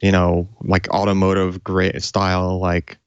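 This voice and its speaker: A man co-hosting a tech podcast, chatting casually and haltingly, repeating words and leaning on filler phrases. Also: deep voice, monotone, clear, resonant tone, no room sound, muffled recording. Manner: halting, repeats words, peppered with "you know". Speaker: podcast co-host.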